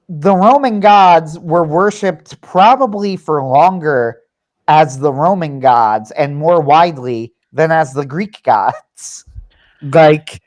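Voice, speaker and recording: Wry, opinionated male livestream host. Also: on the high side. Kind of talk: casual livestream chat.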